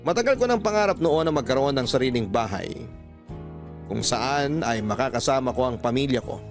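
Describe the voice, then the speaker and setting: A man with a Filipino accent narrating as a radio host, in a scripted, dramatic, measured storytelling style. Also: medium pitch, animated, over music. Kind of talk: scripted dramatic narration, reading a listener's letter.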